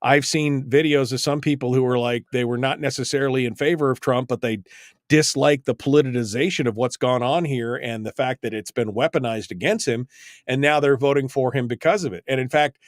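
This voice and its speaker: A man hosting a call-in talk radio show, talking in a halting way and often repeating words. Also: medium pitch, no room sound, studio quality.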